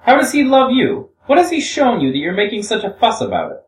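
A man's voice: high voice, wildly swooping pitch, a little fast, slight background noise, pitched above the performer's own voice. A man voicing a proud, nervous young woman who talks heatedly and accuses herself. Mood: heated, confessing. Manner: heated, hysterical pleading. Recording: echoey room, far from mic.

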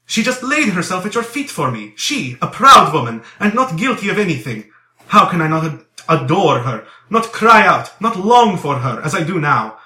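Man acting a frantic, impassioned young man, high-pitched. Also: very animated, normal speed, bright, forceful tone, distant mic, exaggerated voice. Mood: ecstatic, pleading. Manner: exclamatory, pleading, repeating words. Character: impassioned, desperate young man under arrest, frantic and effusive. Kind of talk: dramatized audiobook character dialogue. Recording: roomy echo.

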